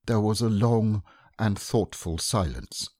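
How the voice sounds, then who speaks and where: A man narrating a children's book aloud in a gentle, expressive way. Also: fairly low pitch, slow pace, dry recording, clean studio sound. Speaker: audiobook narrator. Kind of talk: storybook narration.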